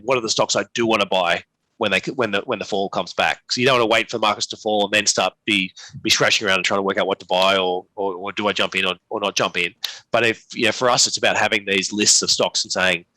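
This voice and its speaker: A man speaking as an investment expert in an unscripted interview, halting and often restarting his phrases. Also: fairly low pitch, bright, forceful tone, no room sound, low-quality recording. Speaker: investment manager, interview guest. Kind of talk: unscripted market commentary in a live Q&A.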